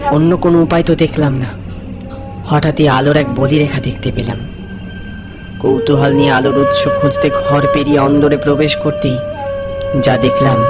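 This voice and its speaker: A man playing an uneasy, curious houseguest who narrates a cartoon horror story with expressive suspense. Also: on the high side. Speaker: first-person narrator.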